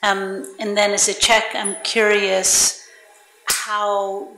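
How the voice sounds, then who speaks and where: Female audience questioner, bright tone, unscripted delivery, roomy live recording. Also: medium pitch, little pitch movement, slow pace. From United States. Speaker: audience member asking questions. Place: indoor public event room. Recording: echoey room, faint background noise, clicks.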